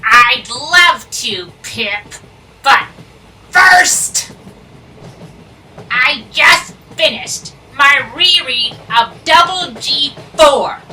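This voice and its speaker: Very bright, high-pitched excitable pony. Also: female voice, drawn-out words, heavily acted. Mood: distraught, dramatic. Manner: dramatic, earnest, emphatic delivery. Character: excitable, bookish young female cartoon pony superfan. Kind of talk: animated cartoon voice acting. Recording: distant mic with faint noise.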